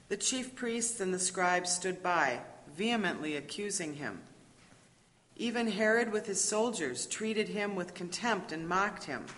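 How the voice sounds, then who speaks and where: A woman's voice: medium pitch, fairly bright tone. A woman narrating a dramatic scripture reading from a script, recorded in a reverberant hall. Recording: reverberant hall with faint noise.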